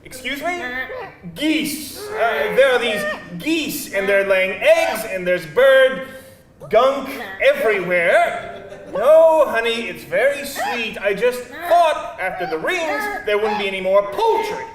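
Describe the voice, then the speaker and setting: An actor playing an exasperated, pleading man in a live table read, halting and flustered, in a roomy venue. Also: mid-range voice, big pitch swings, a crowd in the background.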